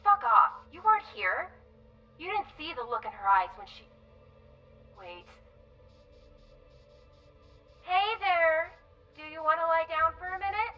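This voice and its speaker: A woman's voice: very high voice, expressive, normal speed, recorded from a distance, dull audio, faint music behind. An actress playing a feisty, brash, sarcastic young spaceship crewwoman in a video game. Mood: angry, rattled. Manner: sarcastic, forceful.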